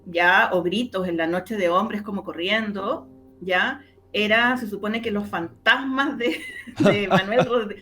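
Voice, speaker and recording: A middle-aged woman with a Chilean accent, telling stories conversationally as an interview guest, often pausing to find her words. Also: medium pitch, a bit bright, faint music behind. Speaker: interview guest, radio drama maker and journalist.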